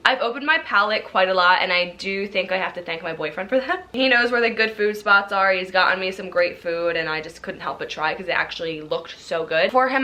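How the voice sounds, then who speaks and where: A woman in her twenties chatting casually as she answers viewer questions in a vlog, talking in a lively way and laughing often. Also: mid-range voice. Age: 20s. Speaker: YouTuber.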